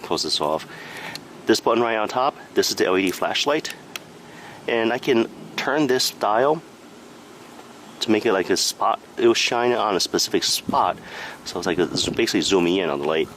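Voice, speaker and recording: A man's voice: fairly low pitch, animated, moderate pace, a bit bright, a little hiss or hum. A man narrating a product review step by step in a plain, matter-of-fact manner.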